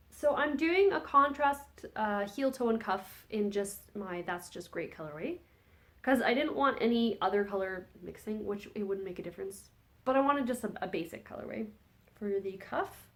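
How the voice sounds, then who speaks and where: A woman's voice: medium pitch, animated, normal speed. Chatty woman, phlegmy from a cold. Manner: rambling, chatty, self-correcting. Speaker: podcast host. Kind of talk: casual chat about knitting projects.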